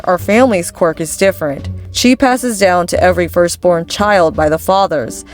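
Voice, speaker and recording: A woman playing a calm, wise parent in an animated comic dub, explaining things in a measured way. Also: lowish voice, close to an everyday voice.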